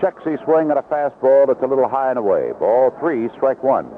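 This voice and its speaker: A man calling live baseball play-by-play on the radio in clipped, unhurried descriptive phrases. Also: mid-range voice, lively intonation. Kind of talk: live radio sports commentary. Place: outdoor ballpark broadcast position. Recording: vintage 1970s radio broadcast, lo-fi, noisy.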